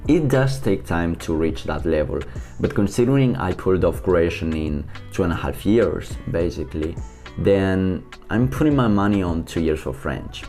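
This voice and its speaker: A man's voice: low voice, dark tone. A young man from Portugal with a European Portuguese accent, a native Portuguese speaker, chatting upbeat in a solo vlog about language learning. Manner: chatty and upbeat, quick asides.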